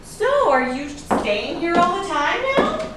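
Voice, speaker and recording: A woman's voice: very high-pitched, big pitch swings, takes it slowly, exaggerated voice. A woman playing a detective's meddling wife in a staged drama reading, earnest and insistent, often hesitant. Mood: prying. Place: echoey room at a staged reading. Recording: echoey room, distant mic, noisy background.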